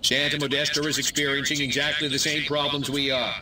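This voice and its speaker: A man's voice: on the high side, little pitch movement, fairly quick, distant mic, background noise, close to an everyday voice. A man voicing a pompous, blustering small-town American mayor in a video game. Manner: pompous, folksy, stalling.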